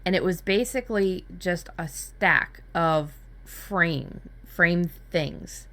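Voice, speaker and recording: Low-pitched woman co-hosting, casual and blunt. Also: takes it slowly, a bit bright. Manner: blunt, informal. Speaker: workshop co-host. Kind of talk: casual chat.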